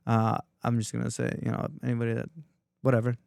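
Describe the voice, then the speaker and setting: Teasing podcast man with a smooth voice. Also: medium pitch, completely flat delivery, darkish tone, no echo, clean studio sound. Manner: teasing, quick to laugh, casual swearing. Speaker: podcast co-host. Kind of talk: casual chat.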